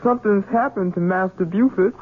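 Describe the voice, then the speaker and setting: An actor playing a simple-minded, frightened servant, pleading in a childlike way in a radio drama. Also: male voice, high voice, brisk, a little hiss or hum, close to an everyday voice. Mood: scared, remorseful. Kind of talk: 1970s radio drama acting. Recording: muffled lo-fi old radio broadcast.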